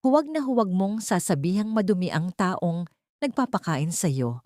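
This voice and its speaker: A woman's voice: on the low side, lively intonation, moderate pace, a little mellow, dry recording, clean studio sound, lightly acted. Menacing, defensive husband, acted by a woman narrator. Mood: cold threat. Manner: defensive, threatening. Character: angry, menacing husband with a shameful secret. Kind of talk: dramatic audio story dialogue.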